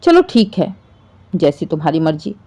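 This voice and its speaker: A woman's voice: low-pitched, very animated, normal speed, dark tone, voice pushed down, full character voice. A woman voicing a stern older father-in-law, a firm and reproachful patriarch in a family drama story. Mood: firm, resigned.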